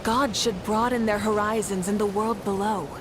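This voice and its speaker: A confident, adventurous heroine, played by a woman speaks at a middle pitch in video game style. Clear noise runs under the voice. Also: steady tone, moderate pace, close to an everyday voice. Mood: reflective. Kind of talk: video game character acting. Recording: clearly noisy game stream capture.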